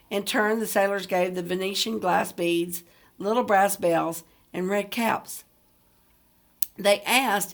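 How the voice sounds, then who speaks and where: A woman with a mid-range voice speaks as a teacher in a read-aloud lesson. She addresses her listeners directly, in the way of someone guiding students through a book and its discussion. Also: mid-range voice.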